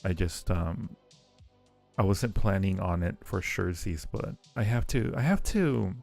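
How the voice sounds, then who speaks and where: A man with a lowish voice and plenty of vocal fry chats very slowly on a livestream. He rambles and circles back on his phrases, playful and affectionate with his viewers, with faint music behind him. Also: animated, darkish tone. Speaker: livestream host. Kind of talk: casual livestream chat.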